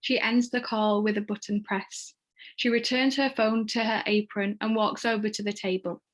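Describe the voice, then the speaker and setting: A woman reads screenplay stage directions as narrator in a measured, descriptive manner. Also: medium pitch, steady tone.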